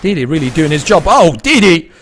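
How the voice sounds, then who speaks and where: Young guy casting a pc game match, kinda high voice, tired chatter then hyped calls. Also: slight background noise. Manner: laid-back and tired between plays, rising to excited fast calls in action. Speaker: esports caster. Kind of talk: live esports commentary mixed with chatting to viewers.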